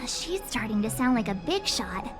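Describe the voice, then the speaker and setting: Perky, high-voiced young adventurer girl. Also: big pitch swings, moderate pace, music in the background. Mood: puzzled. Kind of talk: English game voice acting.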